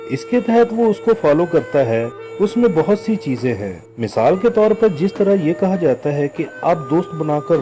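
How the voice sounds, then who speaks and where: A Pakistani man hosts a news commentary in a fairly high, soft-edged voice. His intonation is very animated and he speaks emphatically to his viewers over a music bed. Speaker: news channel host.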